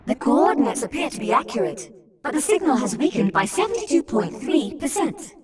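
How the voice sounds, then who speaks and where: A woman's voice: high voice, sing-song, a little fast, darkish tone, far from the mic. A woman voicing a dutiful spaceship computer in a video game, precise, formal and composed. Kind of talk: video game cutscene acting.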